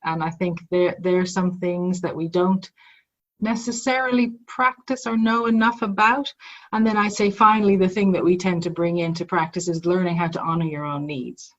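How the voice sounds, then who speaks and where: A woman's voice: lowish voice, normal speed, fairly bright tone. Woman a dull, lo-fi sound. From Ireland. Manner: reflective, explanatory, with restarts. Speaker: guest, psychologist and mindfulness teacher. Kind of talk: conversational podcast interview.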